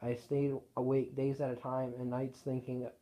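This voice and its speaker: A man reading his own written story aloud in a casual way, halting and correcting himself as he goes. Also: mid-range voice, completely flat delivery, warm tone, low-quality recording.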